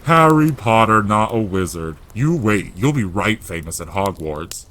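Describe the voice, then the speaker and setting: A man voicing a gruff, warm-hearted giant gamekeeper, blustery and loyal, in growling rustic dialect for a storybook audio drama. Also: on the low side, big pitch swings, a little slow, a little hiss or hum. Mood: proud, indignant.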